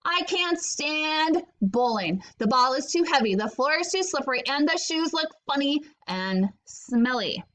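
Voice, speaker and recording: A woman's voice: high-pitched, lively intonation, measured pace, few pauses, a bit bright. A woman reading the part of a blunt, easily annoyed young boy in a children's storybook. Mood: indignant. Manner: indignant, blunt.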